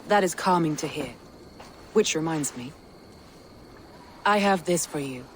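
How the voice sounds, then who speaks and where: An actress playing a dignified female Norse army leader in a video game, speaking formally and deliberately. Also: low-pitched, expressive, slight background noise. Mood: grateful, solemn. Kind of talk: video game drama acting.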